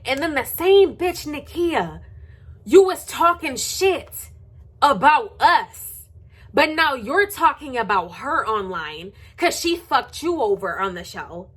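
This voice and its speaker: A woman's voice: high voice, very animated, slow pace, fairly bright tone. An American woman vlogger delivering a heated gossip rant to viewers, blunt and confrontational, swearing heavily and repeating phrases for sarcastic emphasis.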